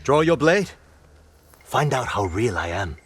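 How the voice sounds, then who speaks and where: An actor playing a wary, hard-bitten island raider leader in a video game, with a rough, husky voice and a blunt, challenging manner. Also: male voice, mid-range voice, very animated, unhurried, fairly bright tone, a little hiss or hum. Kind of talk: dramatic video game cutscene acting.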